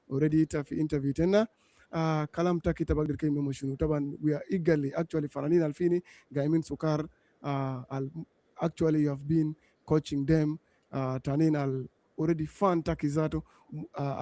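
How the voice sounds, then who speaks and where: Radio host, warm slightly high voice, dry mic, halting. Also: male voice, dry recording. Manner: mixes languages, hesitant with many "uh"s. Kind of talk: radio interview question.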